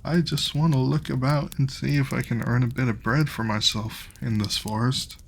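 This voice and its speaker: A man's voice: mid-range voice, steady tone, normal speed, vocal fry, voice pushed up. A man voicing a boastful little tailor in a storybook, speaking brashly and eagerly.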